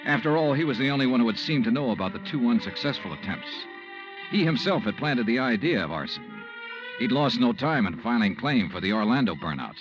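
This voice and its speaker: An actor playing a cool, probing insurance investigator, dry and matter-of-fact, in 1950s radio noir style. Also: male voice, mid-range voice, poor audio. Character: cool, probing male insurance investigator narrating his case.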